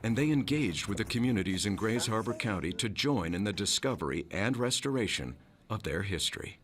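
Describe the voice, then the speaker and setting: A man's voice: on the low side, animated, fairly quick, a bit bright. A man narrating a documentary from a script.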